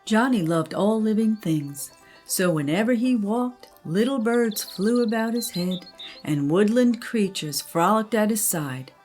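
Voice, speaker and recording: A woman's voice: mid-range voice, measured pace, a little mellow, faint music behind. An older woman, a grandmother, narrating a children's story.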